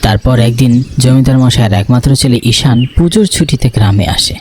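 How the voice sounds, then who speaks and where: A man's voice: medium pitch, a little fast, darkish tone, a little hiss or hum. A man narrating a scripted horror story for a cartoon.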